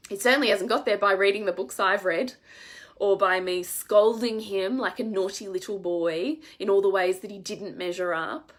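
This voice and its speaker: A woman's voice: mid-range voice, fairly even intonation, moderate pace. A woman in her thirties with a congested head cold, speaking earnestly and emphatically to her viewers as a coach in a live stream, sometimes swearing for emphasis. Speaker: women's coach.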